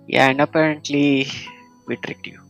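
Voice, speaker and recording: Guy streamer reacting casually, gravelly fry in his voice, bit of game music behind. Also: on the high side, little pitch movement, normal speed. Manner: brief offhand reactions. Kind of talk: casual live-stream commentary over a game.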